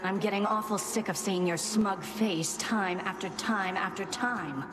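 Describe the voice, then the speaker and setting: An actress playing a bitter, scornful underworld Fury in a video game. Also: female voice, medium pitch, a little slow, natural voice. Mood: scornful, fed up. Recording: echoing voice under loud music.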